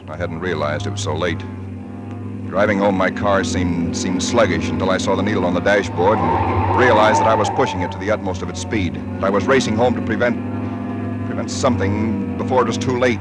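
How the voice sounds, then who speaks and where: A frightened husband haunted by creeping dread , played by a man. His voice is deep and low, and his tense narration keeps catching and restarting. It sounds like a 1940s radio play, with music underneath. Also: lightly acted. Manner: tense, halting, restarting words.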